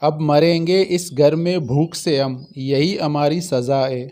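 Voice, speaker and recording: High-voiced greedy crow played by a man. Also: steady tone, slow, a little mellow. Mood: despairing. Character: greedy male crow, henpecked accomplice. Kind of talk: Hindi moral-story cartoon dubbing.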